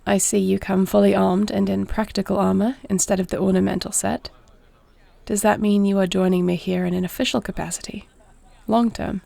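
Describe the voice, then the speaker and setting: A woman playing a duty-bound, caring warrior princess in an audio roleplay, speaking to the listener in a formal, measured, creaky voice. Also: mid-range voice, little pitch movement, dry recording. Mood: measured, explanatory. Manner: formal, measured, soft-spoken speech.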